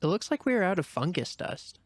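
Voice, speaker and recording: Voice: medium pitch, very animated, brisk, no room sound. A man voicing a feisty small female rabbit, blunt and stubborn, in a dramatic reading of a screenplay. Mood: matter-of-fact.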